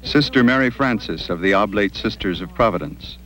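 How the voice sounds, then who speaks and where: An actor playing a sober, courteous documentary reporter, delivering scripted narration and interview questions. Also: male voice, lowish voice, lively intonation, moderate pace, a bit bright, poor audio. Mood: sober, inquiring.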